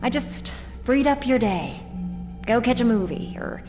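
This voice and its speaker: A cool female boss in a video game. She is dry and condescending, fully in command. Her voice echoes with long reverb beneath loud music. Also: a little high, animated, a little slow. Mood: cool, mocking. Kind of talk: video game character acting.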